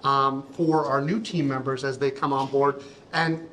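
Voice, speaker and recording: A middle-aged man from Canada with a big voice, a guest chatting casually at an awards reception, halting and repeating words as he thinks. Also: medium pitch, little pitch movement, a bit bright, a little hiss or hum, rough recording. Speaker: interview guest, accountant. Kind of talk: casual event interview. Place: busy reception event.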